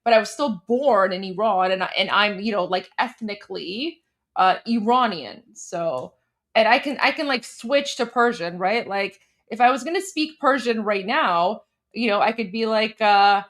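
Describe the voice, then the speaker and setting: A woman's voice: mid-range voice, normal speed. A woman with a Canadian accent hosting a livestream in casual, rambling chat full of fillers. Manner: rambling, filler-heavy, animated. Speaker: livestream host.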